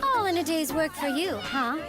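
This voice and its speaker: A woman's voice: sits high, sing-song, normal speed. An actress in an anime dub playing a poised, formal young woman with a refined manner. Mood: pleased. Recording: loud music and crowd noise.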